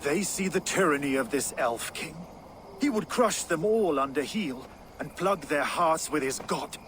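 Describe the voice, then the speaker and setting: An actor playing an earnest, resolute warrior ally in a video game, speaking formally. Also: male voice, fairly high pitch, animated, measured pace, fairly bright tone, slight background noise. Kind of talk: dramatic video game acting.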